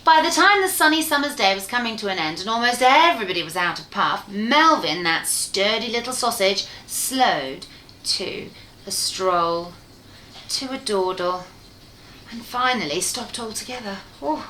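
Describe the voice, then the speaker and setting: A woman reading a children's bedtime story aloud in an expressive, playful way, speaking directly to her listener. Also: mid-range voice, normal speed, slight background noise. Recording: roomy, echoey room sound.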